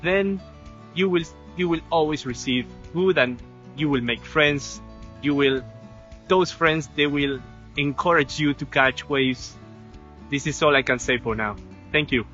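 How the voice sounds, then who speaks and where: A man in his thirties from Argentina, a surf instructor talking outdoors by the sea, halting and repeating words. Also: a little high, sharp tone. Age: 30s. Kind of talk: informal video talk. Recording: dull lo-fi sound with music.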